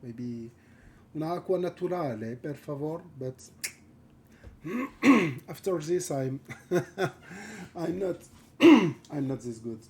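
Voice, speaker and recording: Man, French accent, gravelly low rasp, slow unhurried speech, halting and wordy, live art stream, faint background noise. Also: sing-song, darkish tone. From France. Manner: halting, searching for words, many fillers; thinking aloud while painting.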